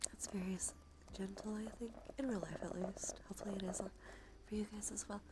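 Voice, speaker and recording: A soft-spoken woman chatting on a cozy ASMR livestream, with a creaky voice that often slips into a breathy whisper. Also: mid-range voice, steady tone, a little fast, background noise. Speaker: streamer.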